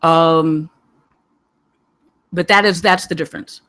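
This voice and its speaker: A panelist giving digressive, halting spoken feedback on a script, wandering from point to point. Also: medium pitch, fairly even intonation, bright, forceful tone. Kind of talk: rambling spoken critique.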